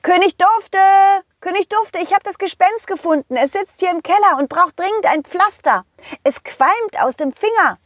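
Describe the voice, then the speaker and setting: A cheerful, loyal dog from a children's story, voiced by a woman, high and very lively. He sounds confident, eager and playful, always reassuring. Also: moderate pace, dry recording, studio quality. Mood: helpful, eager.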